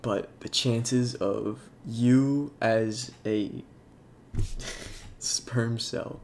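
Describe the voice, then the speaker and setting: A young man with a congested voice, talking in a halting, soft-spoken way with many fillers over game footage. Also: mid-range voice, steady tone, a little hiss or hum. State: congested, sniffling. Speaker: YouTuber. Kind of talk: casual talk over gameplay.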